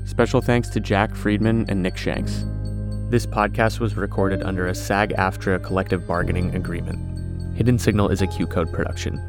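A man's voice: low voice, steady tone, moderate pace. A man reading scripted podcast credits as an announcer. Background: music.

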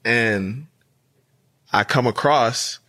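A man in his twenties from the Bahamas, with a partly Americanized Bahamian accent, telling stories as a podcast guest in a relaxed way, full of "like". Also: mid-range voice, very slow. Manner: laid-back storyteller, fills with "like". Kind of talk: casual chat.

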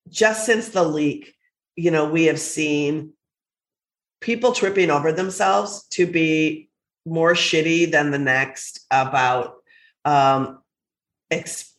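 Podcast co-host, woman, congested and lower than usual, passionate and foul-mouthed, echoey room. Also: animated, slow. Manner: blunt, profane, passionate. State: stuffy from a cold, low voice. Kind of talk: casual chat.

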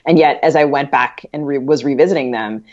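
A woman's voice: extremely low pitch, a little fast, darkish tone. A woman co-hosting a podcast, interviewing in a thoughtful, discursive conversational way. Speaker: podcast co-host. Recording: dull lo-fi recording.

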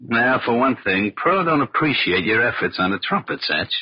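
A man's voice: mid-range voice, big pitch swings, normal speed, breathy edge. An actor playing a lazy, scheming small-time crook with American tough-guy slang, in 1940s radio crime drama style. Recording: dull vintage radio, music and crowd behind.

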